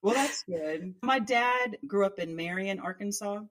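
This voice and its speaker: A woman's voice: medium pitch, measured pace. Earnest Texan woman organizer. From Texas. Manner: earnest and reflective, with many "like" fillers. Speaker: community organizer guest. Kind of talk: conversational interview.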